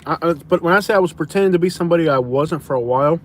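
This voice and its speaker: A man's voice: on the high side, rapid-fire, slight background noise. Rambling young man chatting on a live stream. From Florida. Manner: rambling, repeats himself and restarts, says "bro". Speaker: live-stream host answering viewer questions. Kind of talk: casual live Q&A chat.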